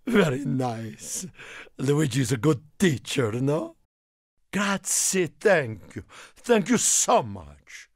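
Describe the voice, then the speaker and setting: A man voicing an excitable, warm-hearted Italian car in a video game, with an Italian accent and a theatrical manner. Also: a little high, slow, dry recording, studio quality, heavily acted. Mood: friendly, helpful. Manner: theatrical, refers to himself in third person. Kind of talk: animated video game character lines.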